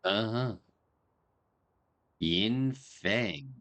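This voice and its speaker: A man's voice: fairly low pitch, drawn-out words. A man hosting a gaming video, giving casual, playful reaction commentary over the game. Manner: expressive, playful, exclamatory. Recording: game music behind the voice.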